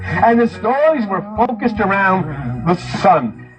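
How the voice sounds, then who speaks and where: Male lecturer, high register, impassioned and emphatic delivery with repeated phrases, music underneath. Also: very animated, slow, dull audio. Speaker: lecturer on religion and mysticism. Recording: speech mixed over music.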